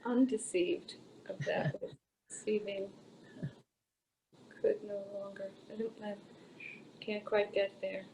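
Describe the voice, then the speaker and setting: Woman giving blunt, halting opinions. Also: medium pitch, fairly even intonation, noisy background. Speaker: participant in a poetry discussion. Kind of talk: unscripted discussion of a poem.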